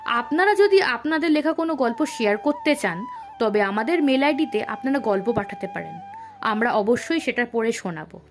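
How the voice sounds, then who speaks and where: A woman's voice: fairly high pitch, lively intonation, normal speed, soft background music. A woman hosting a story podcast, delivering a scripted introduction and outro.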